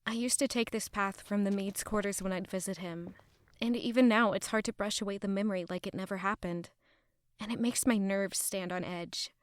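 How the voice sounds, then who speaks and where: An actress playing an anxious, gentle young former palace maid in an audio drama, speaking introspectively. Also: female voice, mid-range voice, fairly even intonation, a little fast, no echo, clean studio sound, close to an everyday voice. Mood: wistful, uneasy. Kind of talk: audio drama acting with inner narration.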